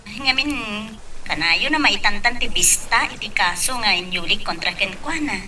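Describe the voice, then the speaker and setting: A woman's voice: medium pitch, animated, normal speed, sharp tone, distant mic, a little hiss or hum, rough recording. A woman acting in a radio drama as a cheerful, sly and confident friend. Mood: coy, inquisitive.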